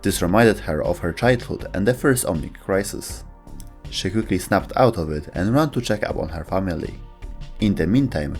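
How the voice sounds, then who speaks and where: A man's voice: low-pitched, darkish tone. A man narrating a scripted video essay about game lore. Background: music.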